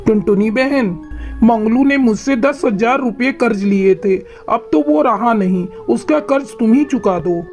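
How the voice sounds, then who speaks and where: A woman's voice: on the high side, unhurried, darkish tone, lightly acted. A woman voicing a callous, grumpy male bird in a cartoon moral story, scolding and complaining. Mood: demanding. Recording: music behind the voice.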